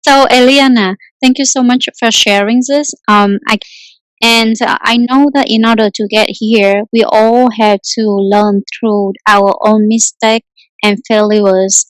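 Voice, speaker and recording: A woman's voice: a little high, steady tone, a little slow, clean tone, no room sound, low-quality recording. A woman hosting an interview, asking questions politely and appreciatively. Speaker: interview host.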